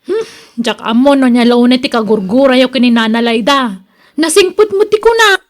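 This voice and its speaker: High-voiced grown daughter for radio drama woman acting: easygoing, grateful, chatty, pleading tone. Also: lively intonation, moderate pace. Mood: mildly protesting.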